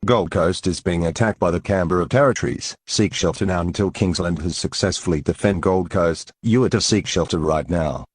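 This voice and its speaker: Deep, husky male announcer. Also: normal speed, hardly any pauses, no room sound, clean studio sound. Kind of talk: scripted fictional news and alert announcements.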